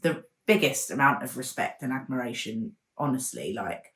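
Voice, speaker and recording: A woman's voice: a bass voice, lively intonation, moderate pace, a bit bright, roomy sound. A woman co-hosting a podcast, speaking enthusiastically and gushing about the guest. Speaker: podcast co-host.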